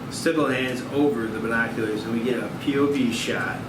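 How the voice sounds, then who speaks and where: A man's voice: medium pitch, fairly quick. A man reading stage directions as narrator of a screenplay table read. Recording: echoey noisy room, far from mic.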